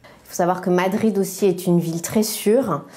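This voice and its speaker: Low-voiced fluent woman. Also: steady tone, normal speed, dark tone. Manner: fluent, confident. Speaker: interviewee. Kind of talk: interview clip.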